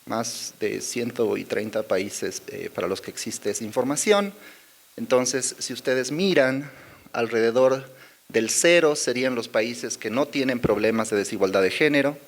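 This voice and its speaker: A man with a Bolivian accent presenting research charts at a conference, with many pauses, fillers and little tag questions. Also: mid-range voice, lively intonation. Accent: Bolivian Spanish. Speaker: conference speaker. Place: conference hall.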